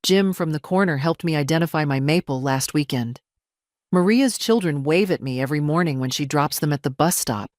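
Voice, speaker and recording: Woman voicing a composed, unyielding older mother, low-voiced. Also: brisk, darkish tone, dry recording, studio quality, close to an everyday voice. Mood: calm, firm. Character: composed, firm older mother, quietly defiant homeowner.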